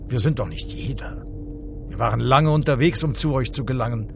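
A man's voice: mid-range voice, brisk, creaky, faint music behind, lightly acted. Stern spaceship commander, played by a man. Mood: stern, dry. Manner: clipped, commanding, dry. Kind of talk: radio play acting.

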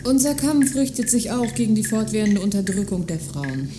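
An actress playing an earnest, scornful political activist in a radio play, with dry, sarcastic delivery. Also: female voice, mid-range voice, very animated, normal speed, noisy background, natural voice. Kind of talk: scripted radio play dialogue. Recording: music behind the dialogue.

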